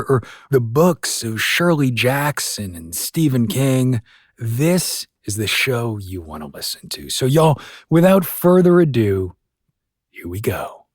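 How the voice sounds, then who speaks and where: Man, podcast host, animated melody, presenterly, folksy, scripted introduction, very dry recording. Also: medium pitch, takes it slowly, no echo.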